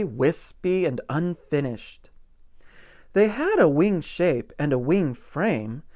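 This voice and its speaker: A man reading a picture book aloud as a pastor at storytime, with gentle expression and simple asides for young children. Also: fairly high pitch. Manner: gentle, expressive, stretches key words for emphasis.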